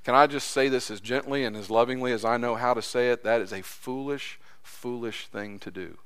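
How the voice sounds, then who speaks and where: A man preaching a sermon in a church, impassioned, his voice climbing high as he acts out quotes, then dropping low and soft. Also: fairly quick, clear, resonant tone. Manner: impassioned, voice rising high when acting out quotes. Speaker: pastor.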